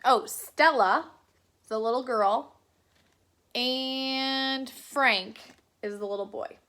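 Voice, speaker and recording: A woman's voice: high-pitched, drawn-out words, sharp tone. A woman teacher reading a picture book aloud to children, adding chatty asides to the kids.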